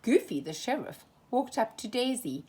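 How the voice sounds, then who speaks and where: A woman reading a children's storybook aloud, slow and expressive. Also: fairly high pitch, slightly rough. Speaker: storytime reader.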